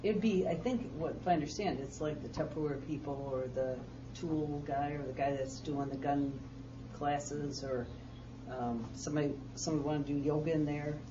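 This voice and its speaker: A woman's voice: deep voice, steady tone, normal speed. A plain-spoken woman leading an informal board meeting discussion and asking the others for their views. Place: meeting room. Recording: dull, noisy, distant meeting recording.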